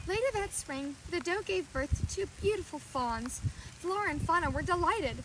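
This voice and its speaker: A woman's voice: very high-pitched, animated, moderate pace, background noise. A woman storyteller reading a children's fairy tale aloud.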